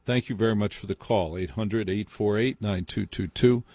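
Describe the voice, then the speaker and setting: A man's voice: on the low side, normal speed, a little mellow, dry recording. An American man hosting a live call-in radio show, speaking emphatically and repeating phrases for effect. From New Jersey, United States. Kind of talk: live talk radio conversation.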